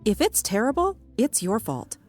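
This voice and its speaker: A woman's voice: on the high side, huge pitch swings, moderate pace, darkish tone, faint music behind. A woman playing a caring, playfully snippy friend in a naturalistic audio drama. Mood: concerned, bickering.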